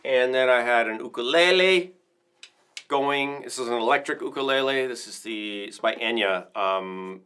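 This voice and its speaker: A man's voice: lowish voice, bright, forceful tone. A man hosting a casual livestream as a percussion teacher, talking through instruments in an informal, rambling, enthusiastic way.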